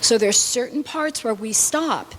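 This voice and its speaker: A woman's voice: fairly high pitch, unhurried, a bit bright. A woman casually giving instructions to an all-ages audience, coaxing them and demonstrating how to respond. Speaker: storybook fairy helper leading audience responses. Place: live gathering before an audience.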